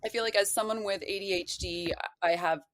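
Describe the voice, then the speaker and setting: A woman's voice: medium pitch, fairly even intonation, brisk, fairly bright tone, dry recording. A woman talking as a podcast guest, explaining things in a casual, digressive, conversational way. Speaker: podcast guest, occult practitioner.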